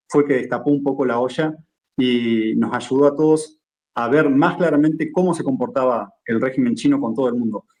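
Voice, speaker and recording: A man's voice: mid-range voice, a little mellow. A man from Argentina with an Argentine Spanish accent, a journalist guest explaining at length and checking in with "¿sí?". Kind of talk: interview conversation.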